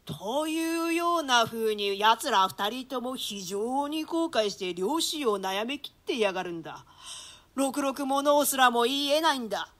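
Casting: female actor as rough male assassin with a conscience, high voice, comic-storyteller delivery of classical drama. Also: expressive, measured pace, a bit bright. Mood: anguished storytelling. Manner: coarse colloquial speech, swinging into theatrical quoted voices. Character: rough male hired killer, shaken by pity and horror. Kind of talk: Shakespeare monologue in comic rakugo-like storytelling style.

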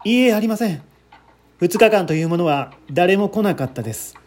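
A man's voice: high voice, animated, a little fast, a little mellow, a little hiss or hum. A man reading the part of an earnest, anxious man who politely recounts a friend's death in a dramatic radio-story style. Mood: polite, guarded.

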